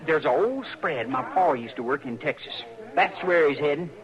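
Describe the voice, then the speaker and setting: An actor playing a scared, shifty young drifter in a 1950s radio drama, stammering and hesitant, with rural grammar. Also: male voice, on the high side, lively intonation, moderate pace, natural voice. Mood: nervous. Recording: lo-fi old radio broadcast, saloon crowd behind.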